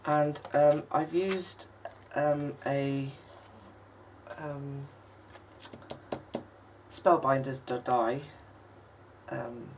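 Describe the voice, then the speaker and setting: Lady with a croaky cold voice, chatty craft tutorial, lots of ums, mic a bit far off with light hiss. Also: fairly even intonation, darkish tone. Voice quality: husky, low for her. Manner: chatty, halting, many ums, coughs now and then. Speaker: craft channel host. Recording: distant mic with faint noise.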